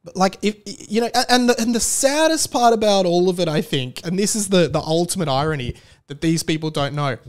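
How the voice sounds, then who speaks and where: Male podcast host, slightly high voice, blunt, rambling, comedic solo delivery. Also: lively intonation, brisk. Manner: rambling, blunt, swears casually. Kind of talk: casual comedic solo rant.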